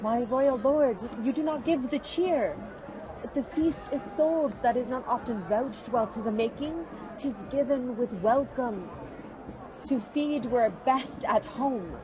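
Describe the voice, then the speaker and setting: A woman playing a scornful, controlling queen in a radio drama, with a sharp, scolding manner. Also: high voice, measured pace, a crowd in the background, poor audio. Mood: gracious.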